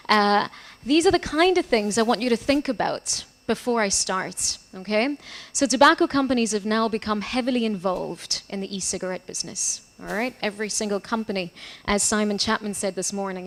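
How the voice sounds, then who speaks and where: A woman from Portugal presents at an academic conference in a relaxed, conversational way. She keeps checking in with her listeners as she goes, and her voice sits at an ordinary middle pitch. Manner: chatty, checks in with "okay?". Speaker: conference speaker. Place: conference hall.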